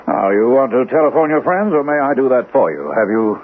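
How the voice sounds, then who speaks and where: An actor playing a courteous, probing male doctor in a radio mystery drama, measured and soothing with deliberate hesitations. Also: mid-range voice, lively intonation, lightly acted. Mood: courteous, reassuring. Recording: muffled, narrow-band, noisy old radio broadcast.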